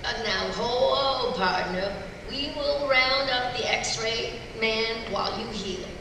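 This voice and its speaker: An actress playing a feisty, folksy superheroine at a live cartoon table read. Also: female voice, on the high side, slow. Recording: echoey noisy hall, far from mic.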